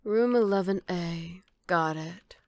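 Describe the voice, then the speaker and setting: A woman playing a flirty, cocky female villain who teases in an unhurried, smooth-talking way, in an ASMR roleplay. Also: on the low side, no echo. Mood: casual, teasing.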